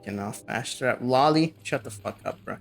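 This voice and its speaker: A man's voice: medium pitch, normal speed, gravelly, soft background music. A male streamer giving casual gameplay commentary and joking back and forth with his chat.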